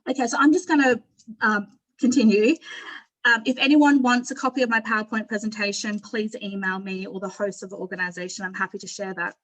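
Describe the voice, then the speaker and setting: A woman's voice: mid-range voice, steady tone, fairly quick, rough recording. A middle-aged woman with an Australian accent, giving an informal personal presentation on a video call in a candid, conversational way. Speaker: panel speaker at an online forum.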